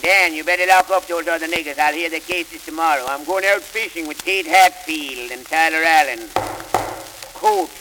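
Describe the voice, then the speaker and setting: An actor playing an impatient small-town judge who runs his court briskly. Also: fairly high pitch, close to an everyday voice. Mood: stern. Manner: brisk, no-nonsense. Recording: hissy, echoey old record.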